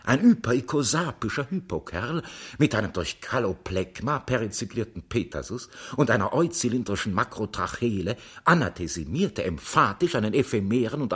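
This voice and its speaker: Low, husky, pompous man reciting. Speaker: radio play reader. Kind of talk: dramatic literary recital.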